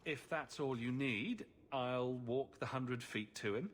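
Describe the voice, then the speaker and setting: A man's voice: mid-range voice, takes it slowly, slight background noise, lightly acted. An actor playing a wry, skeptical male adventurer in a fantasy video game, blunt and sardonic. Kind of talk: video game dialogue acting.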